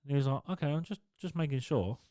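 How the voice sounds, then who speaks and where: A man's voice: a little high, animated, quick pace, noisy background. A young man co-hosting a casual podcast, swearing often and laughing as he tells his story. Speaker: podcast co-host. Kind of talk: casual chat. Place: home garage. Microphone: studio podcast mic.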